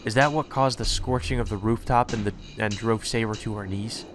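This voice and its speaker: A man's voice: lowish voice, steady tone, brisk, noisy background. A man reading visual novel narration aloud as a let's play narrator. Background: game music.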